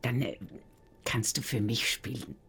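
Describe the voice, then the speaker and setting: Very deep, husky barroom card player. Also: female voice, steady tone, measured pace, a bit bright, exaggerated voice. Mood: dry, casual. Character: laconic female card player in a seedy bar. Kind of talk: naturalistic radio drama acting.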